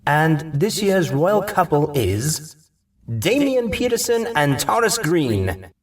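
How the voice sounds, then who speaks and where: A man's voice: fairly high pitch, big pitch swings, slow, pitched above the performer's own voice. A man voicing a teacher announcing winners at a school dance, showy and a bit distant from the mic.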